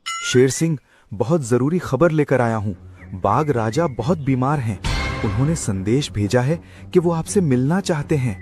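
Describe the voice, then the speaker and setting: A man voicing an earnest hyena messenger, urgent and respectful, in an animated moral story. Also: medium pitch, normal speed, over music, close to an everyday voice. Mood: earnest urgency.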